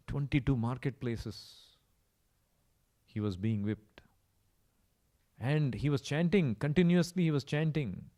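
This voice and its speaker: A man's voice: mid-range voice, dark tone. Indian male preacher, emphatic storyteller. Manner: emphatic, repetitive, rhetorical questions. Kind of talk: sermon with storytelling.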